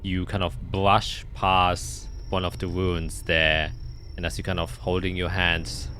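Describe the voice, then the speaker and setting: A man's voice: deep voice, level tone, measured pace, pushed and bright, a little hiss or hum. A man running a tabletop roleplaying game, casually explaining rules and narrating scenes. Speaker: game master.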